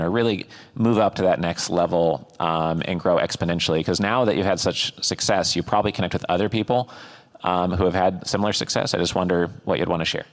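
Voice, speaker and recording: A man hosting a fireside chat, asking long questions with many hesitant fillers. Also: low voice. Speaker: host and interviewer.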